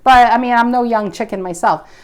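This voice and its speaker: A woman's voice: on the high side, fairly quick, clean tone. Woman in her forties reading tarot aloud. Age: 40s. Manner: musing and intuitive, thinking aloud with frequent restarts. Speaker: tarot reader. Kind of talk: casual spoken tarot reading.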